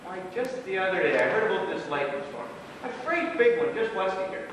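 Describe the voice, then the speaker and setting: A man's voice: high-pitched, sing-song, a little fast, a bit bright. A man acting a folksy, earnest father who rambles like a storyteller in an amateur stage play. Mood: earnest storytelling. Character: folksy father spinning grim storm tales, earnest and nosy. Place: small theatre stage, recorded from the audience. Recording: echoey theatre stage from far off, noisy.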